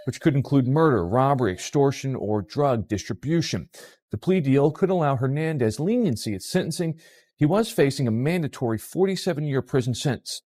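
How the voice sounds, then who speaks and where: A man's voice: medium pitch, darkish tone, no echo. A man with an American accent reading a scripted news report.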